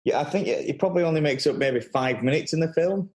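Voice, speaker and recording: A man's voice: fairly high pitch, fast, a little mellow. A man chatting casually about films as a podcast guest. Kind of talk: casual film chat.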